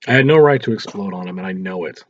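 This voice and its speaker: A man's voice: deep voice, animated, fast talker, slight background noise. A man voicing a troubled, edgy teenage boy, sheepish and weary, in a read-aloud story. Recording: roomy echo, dull lo-fi sound.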